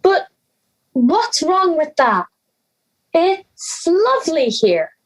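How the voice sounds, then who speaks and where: A woman's voice: very high voice, very animated, extremely slow, full character voice. A young woman playing an anxious, timid schoolgirl in an audio drama, hesitant and pleading. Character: anxious, timid schoolgirl afraid of being laughed at.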